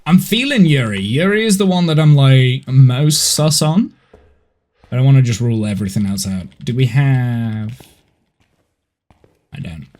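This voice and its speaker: A man's voice: mid-range voice, animated, a little slow, long pauses, darkish tone. A man in his thirties with a head cold, chatting casually and rambling as he streams a horror game from home. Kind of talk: casual gameplay chat on a live stream.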